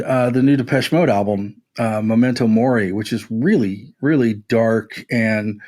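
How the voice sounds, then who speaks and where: Man chatting casually about music, mid-pitched. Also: darkish tone. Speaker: podcast panelist recommending music.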